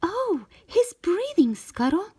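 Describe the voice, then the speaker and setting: A woman's voice: very high voice, very animated, takes it slowly, a little mellow, no room sound, clean studio sound, voice pushed up, heavily acted. A woman voicing an eager, tender-hearted young mermaid princess in a children's storybook, with gasping, emotional delivery. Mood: relieved.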